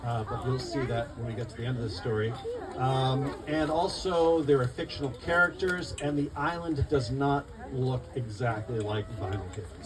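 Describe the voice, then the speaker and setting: A man reading his picture book aloud at a live event, expressive and rhythmic in the rhymes, with informal asides to listeners. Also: medium pitch. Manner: expressive, rhythmic storytelling of rhyming verse. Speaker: children's book author reading his own book. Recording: distant mic, noisy live room.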